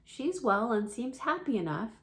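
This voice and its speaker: A woman's voice: on the high side, sing-song, moderate pace, pitched above the performer's own voice. Kindly, motherly winged cat, read by a woman. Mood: earnest, explaining. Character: kindly, motherly older sister cat with wings.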